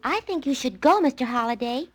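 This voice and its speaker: A woman's voice: high voice, lively intonation, fairly quick, faint music behind. An actress playing a naive, caring young secretary in 1940s radio comedy style, earnest and girlish. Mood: perky, coaxing. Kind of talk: 1940s radio comic acting.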